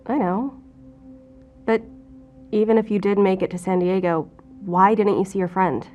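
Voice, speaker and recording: A woman playing a guarded, determined federal investigator in an audio drama, with a dry, measured, probing manner. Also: medium pitch, warm tone, soft background music, close to an everyday voice. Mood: probing, reflective.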